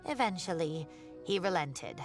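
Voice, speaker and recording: Low-voiced genteel older professor, fretful. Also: female voice, expressive, unhurried, music in the background. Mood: recounting. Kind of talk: video game voice acting.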